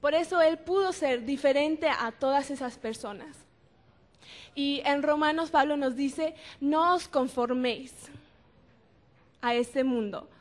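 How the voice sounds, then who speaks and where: A young woman from Mexico with a high voice answers a panel question. She explains a scripture passage earnestly and pauses to think as she goes.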